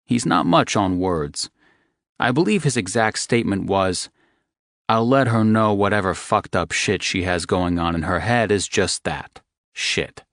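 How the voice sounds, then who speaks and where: A man voicing a protective young man who is gentle but swears freely. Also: expressive, a little slow, vocal fry, no echo, clean studio sound, full character voice. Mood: tender. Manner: low, gentle, coarse-talking.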